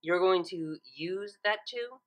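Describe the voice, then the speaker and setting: A woman's voice: fairly low pitch, takes it slowly, a bit bright. A woman voicing a skeptical, bookish female cartoon pony, sarcastic and easily flustered, with an emphatic way of talking. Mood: dry, exasperated.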